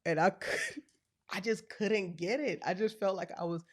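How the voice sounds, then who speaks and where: A woman with a deep voice hosting a podcast, chatting with her parents at their home in a relaxed, storytelling way. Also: normal speed. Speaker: podcast host. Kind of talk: casual family interview.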